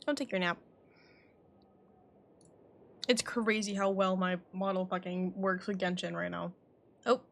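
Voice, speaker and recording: A woman's voice: mid-range voice, steady tone, moderate pace, creaky. A woman streaming a game, chatting casually in a rambling way and swearing now and then. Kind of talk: casual livestream chat.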